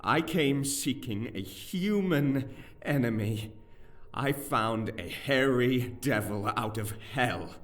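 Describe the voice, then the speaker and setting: An actor playing an anxious, shaken high-born conspirator in a fantasy audio drama, speaking in a dramatic, halting way. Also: male voice, animated, fairly bright tone. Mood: grave, fearful. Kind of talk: full-cast fantasy audio drama acting. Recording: distant mic under background music.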